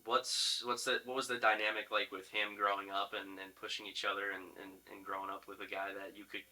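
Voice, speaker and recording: A man's voice: lowish voice, little pitch movement, brisk, sharp tone, rough recording. A man hosting a casual sports podcast, asking chatty, rambling questions of his guests. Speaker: podcast host interviewing players. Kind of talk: casual sports interview chat.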